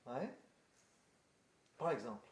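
An older British-accented man speaks to a gathered group as their guest pastor, halting often with fillers and restarts. His voice sits in a middle register. It carries in an echoey room over a faint hiss. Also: medium pitch, big pitch swings. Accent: British English. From Britain. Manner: hesitant, many fillers and restarts. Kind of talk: casual address to a group.

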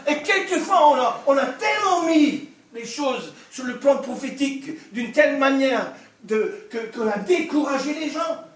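Older preacher, high voice, echoey hall. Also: male voice, a little slow, few pauses, a little hiss or hum. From Scotland. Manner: humorous, animated preaching with asides. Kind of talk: sermon. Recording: echoey hall, distant mic.

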